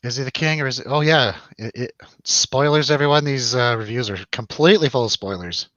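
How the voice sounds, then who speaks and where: A man's voice: mid-range voice, fairly quick, a bit bright, no room sound, highs cut off. A man hosting a casual live movie review show with jokey, showy announcer-style introductions.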